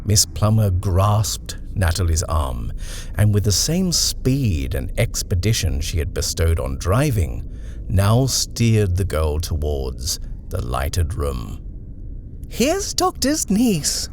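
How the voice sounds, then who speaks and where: A man narrating a horror short story in a measured, expressive reading style. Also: low voice, a little hiss or hum.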